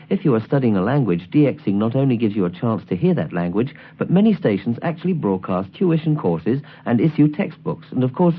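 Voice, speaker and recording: A man reading scripted instructional narration in a measured, precisely enunciated way, as the presenter of a tutorial album. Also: fairly low pitch. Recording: tinny, band-limited 1979 album recording.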